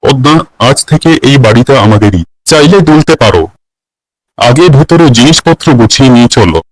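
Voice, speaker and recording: A man's voice: medium pitch, animated, moderate pace, no room sound, natural voice, poor audio. A man voicing a courteous, cautious but easygoing husband and house buyer in a cartoon. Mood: agreeable.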